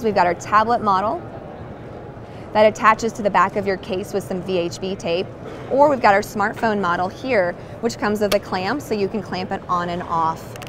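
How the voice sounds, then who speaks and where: Upbeat woman demoing at a trade booth. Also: mid-range voice. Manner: upbeat, clear sales presentation. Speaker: product demonstrator. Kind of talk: trade show product demo. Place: trade show booth, indoors. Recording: trade show floor noise behind.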